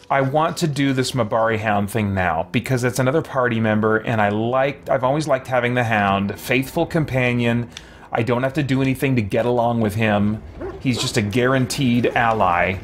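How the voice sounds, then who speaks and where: A man in his forties chats through a video game as a streamer. He muses and wanders off on tangents, breaking into his own sentences. His pitch is average for a man. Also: slight background noise. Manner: rambling, musing, self-interrupting. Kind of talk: casual gameplay commentary. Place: small indoor room with shelves.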